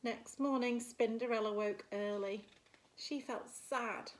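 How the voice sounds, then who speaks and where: A woman's voice: a little high, low-quality recording. A woman reading a picture book aloud as a primary teacher would, expressively and with little asides to young listeners. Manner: animated, slow and deliberate storytelling, gasps and murmurs for effect.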